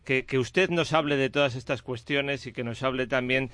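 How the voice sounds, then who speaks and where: A man's voice: medium pitch, fairly even intonation, normal speed, a bit bright, no room sound. A man co-hosting a radio interview, building up to his question in a hesitant, rambling way full of fillers. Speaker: radio co-host.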